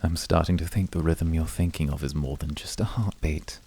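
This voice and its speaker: A man playing a calm, brooding incubus in a flirty ASMR roleplay, measured, intimate and quietly seductive. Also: very deep voice, husky, heavily acted. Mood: dry, measured.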